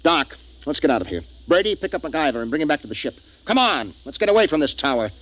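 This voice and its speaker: An American actor playing a decisive, brooding spaceship captain in a 1950s radio science fiction drama. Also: male voice, on the high side, very animated, fast, fairly bright tone, highs cut off. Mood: urgent, decisive. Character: decisive, brooding male spaceship captain narrating his expedition.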